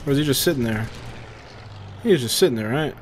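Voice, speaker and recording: A man with a mid-pitched voice commentates casually on a game he is playing. He thinks aloud, rambles and mutters to himself, swearing offhandedly. Faint game noise and gunfire sit behind his voice. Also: lively intonation, quick pace. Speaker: gamer, let's-play commentator. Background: war video game sounds, gunfire.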